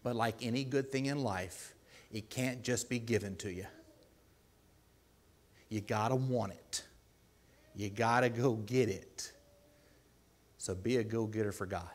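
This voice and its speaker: A man with a deep voice preaching a sermon in a church, earnest and pleading, with loud emphatic bursts. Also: unhurried, long pauses. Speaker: lay preacher.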